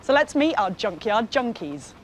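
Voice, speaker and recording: A woman co-hosting a competition show, announcing the challenge in a crisp, emphatic way. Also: on the high side, sing-song, moderate pace, slight background noise. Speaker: co-host.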